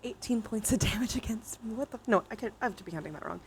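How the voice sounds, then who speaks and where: A woman's voice: a little high, lively intonation, racing, a little hiss or hum. A woman hosting a livestream, chatting casually with viewers in a playful, giggly way and swearing freely. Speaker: livestream host. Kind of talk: casual livestream chat with viewers during a dice game.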